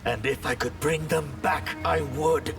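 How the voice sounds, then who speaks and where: An actor voicing a proud, fallen sorcerer in a video game, bitter, defiant and accusing. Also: male voice, a little high, a little slow, gravelly. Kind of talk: video game character dialogue. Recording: game dialogue over music.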